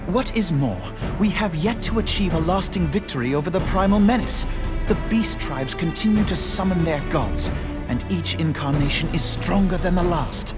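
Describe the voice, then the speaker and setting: An earnest young nobleman, fairly high in pitch and lively in intonation, speaks as a self-assured envoy in a game cutscene. His delivery is formal and eloquent, with music clearly behind. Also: moderate pace. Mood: earnest, insistent. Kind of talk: scripted video game cutscene dialogue.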